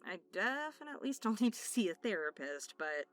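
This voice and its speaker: A woman's voice: mid-range voice, sing-song, a little fast, fairly bright tone. A woman host giving a casual, candid personal update to listeners in an informal, giggly way. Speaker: ASMR channel host. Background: music.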